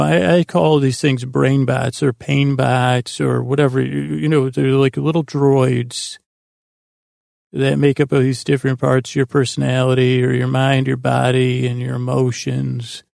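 A man with a creaky, gravelly voice hosting a sleep podcast in a meandering, halting, self-deprecating monologue full of tangents. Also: medium pitch, level tone, darkish tone, dry recording, clean studio sound. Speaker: podcast host.

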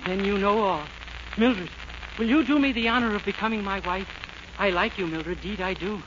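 Male actor as earnest vaudeville suitor, very high voice, courtly and florid, dull vintage radio sound. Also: moderate pace, background noise, lightly acted. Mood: courtly, longing. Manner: florid, formal melodramatic delivery. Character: earnest, gallant vaudeville star, courting his sweetheart. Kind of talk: 1940s radio sitcom acting.

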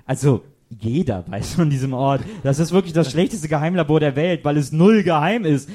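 A man playing a know-it-all junior detective boy, huffy and excitable, in a comic radio play. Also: on the high side, moderate pace, lightly acted. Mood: defiant. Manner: excitable, cocky, self-talking.

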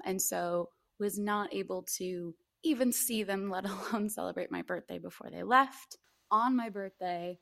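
A woman's voice: mid-range voice, gravelly, a bit bright, dry recording. Female podcast co-host, mid-range voice. Playful and mock-dramatic, conversational, recorded dry. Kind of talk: casual podcast chat.

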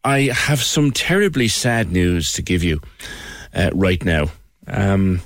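A man's voice: deep voice, very animated, slow pace, vocal fry, dry recording. A man hosting a radio show, chatting and joking with his guests in a casual interview.

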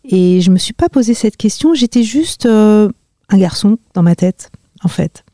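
A woman in her fifties from the Paris region, a podcast guest talking hesitantly with many pauses and fillers. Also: mid-range voice, expressive, warm tone, no room sound, clean studio sound. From Paris region, France. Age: 50s. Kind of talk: podcast interview.

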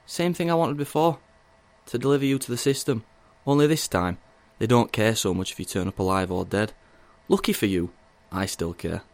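An actor playing a controlling male villain in an audio drama, falsely tender, condescending and quietly threatening. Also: on the low side, normal speed, natural voice. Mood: cold, smug.

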